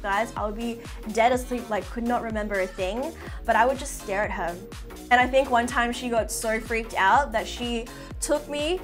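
A young woman in her twenties chatting casually to camera in a bedroom, bubbly and full of fillers. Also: a little high. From half Filipino. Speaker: beauty vlogger. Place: indoor bedroom with fairy lights.

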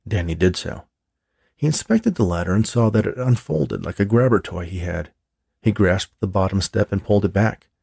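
A man narrates an audiobook in a lowish, gravelly voice. His delivery is expressive and the sound is close and dry. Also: normal speed, no room sound. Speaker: author reading own novel.

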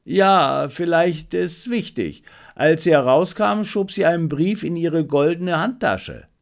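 A man's voice: fairly high pitch, slow, creaky, voice pushed up. A man voicing a cunning fifteen-year-old Berlin street boy in an audiobook, reporting eagerly in clipped phrases. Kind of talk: audiobook character reading.

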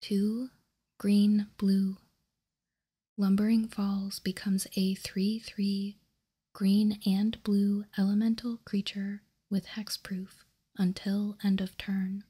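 A woman whispering softly for ASMR, reading aloud in deliberate, measured short phrases close to the microphone. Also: mid-range voice, little pitch movement. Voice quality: soft, breathy whisper. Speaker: ASMR video host reading trading cards. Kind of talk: whispered ASMR, slow card read-out.

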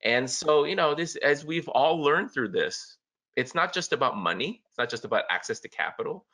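A man's voice: fairly high pitch, lively intonation, normal speed, bright, forceful tone. A man who owns a café, speaking earnestly and emphatically as a guest in a video call interview. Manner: earnest, emphatic, repeats words.

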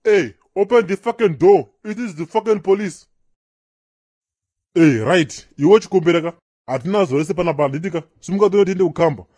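A man's voice: sits high, a little fast, mellow, slightly rough, no echo, clean studio sound. A man voicing a stern, swaggering Zimbabwean police officer who blusters and interrogates in a comedy cartoon. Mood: bossy, demanding.

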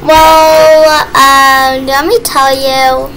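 A woman's voice: sits high, level tone, extremely slow, heavy background noise. A young woman in casual, playful role-play chat with a friend while gaming, with a teasing way of talking. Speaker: gamer playing a role-play game with a friend.

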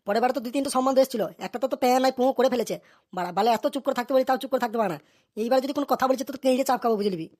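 A performer voicing an excitable, scheming village elder in a comedy cartoon, coarse and quick to scold and haggle. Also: high-pitched, close to an everyday voice.

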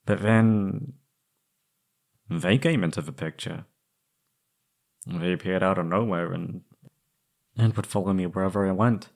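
A young man acting an anxious, wistful former captive in a scripted roleplay audio drama, speaking hesitantly and haltingly. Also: low voice, creaky. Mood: weary, frustrated.

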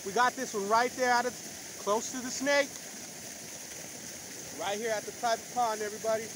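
A man's voice: very high-pitched, fairly even intonation, moderate pace. A man, vlogging his fishing outdoors on a phone held at arm's length, slangy and hyped, whooping after each catch. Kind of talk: casual outdoor vlog commentary. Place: outdoors by a pond and river. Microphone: phone camera at arm's length. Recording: noisy outdoor phone recording.

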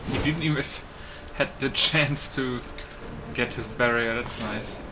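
A man's voice: medium pitch, takes it slowly. Man casually commentating over noisy game audio. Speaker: gaming video creator. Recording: noisy home setup, loud computer.

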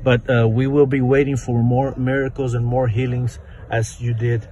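A man interpreting live between a preacher and an interviewer, speaking quickly with fillers and restarts. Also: mid-range voice, flat delivery, dark tone, highs cut off, slight background noise.

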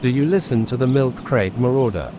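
A man's voice: mid-range voice, fairly quick. A man doing a mock-aggressive, profane comedic skit in a bullying manner. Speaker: video channel host. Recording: clear street and vehicle noise.

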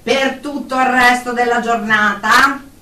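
An actress playing a stern, condescending therapist in a radio drama, speaking in formal, wordy phrases. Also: female voice, on the high side, fairly even intonation, measured pace, pushed and bright. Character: stern, condescending female therapist running group session. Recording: echoey room, distant mic, faint noise.

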